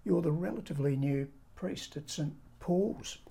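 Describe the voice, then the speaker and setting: Very deep, husky woman host. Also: warm tone. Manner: measured, halting questions. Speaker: podcast host. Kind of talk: podcast interview.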